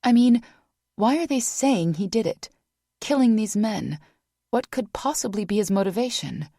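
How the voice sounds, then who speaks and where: A woman's voice: on the high side, expressive, moderate pace, vocal fry, dark tone, slightly rough, no room sound, studio quality, pitched above the performer's own voice, exaggerated voice. A woman narrator voicing an anxious young woman who speaks with urgent disbelief. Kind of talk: audiobook character voice.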